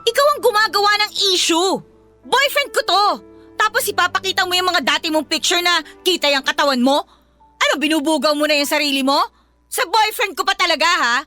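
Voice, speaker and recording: A woman's voice: very high-pitched, brisk, pushed and bright, faint music behind. An actress playing a jealous, combative young girlfriend in a Filipino radio drama, scolding with emphatic questions in a Filipino accent. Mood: furious, scornful.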